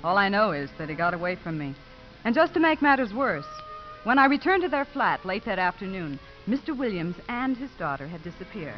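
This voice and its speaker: An actress playing a prim, indignant young investigator with formal, precise speech in a 1950s radio drama. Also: female voice, medium pitch, big pitch swings, fairly quick, soft background music, natural voice. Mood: exasperated narration. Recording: muffled 1950s radio recording.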